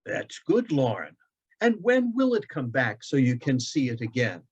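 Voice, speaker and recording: Man playing a gentle, slow-spoken wise father. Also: mid-range voice, sing-song, breathy edge. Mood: affectionate. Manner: warm, reverent, deliberate. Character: gentle, wise father teaching his young son. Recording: dull, lo-fi online event audio.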